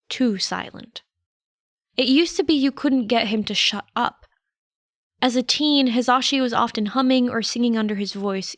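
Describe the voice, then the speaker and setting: A woman's voice: a little high, dry recording. A woman reading a story aloud as scripted narration. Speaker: podfic narrator.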